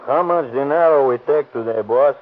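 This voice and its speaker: A man's voice: mid-range voice, moderate pace, lightly acted. An actor playing a cheerful Mexican police officer and family man, speaking broken English with a Mexican Spanish accent, in a 1930s radio drama. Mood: jovial. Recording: tinny old radio recording.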